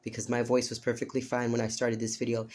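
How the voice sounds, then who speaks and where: A man reads tarot cards aloud to an unseen listener, earnest and repetitive, often tagging his points with "okay?". His pitch stays narrow and even, and his voice has a hoarse edge. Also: fast talker. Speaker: tarot reader. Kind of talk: casual spoken tarot reading.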